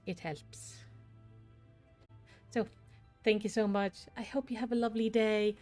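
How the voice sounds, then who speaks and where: A woman from Iceland with an Icelandic accent, chatting casually and warmly on her gaming stream. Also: a little high, steady tone, unhurried, faint music behind. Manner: chatty and friendly, with restarts.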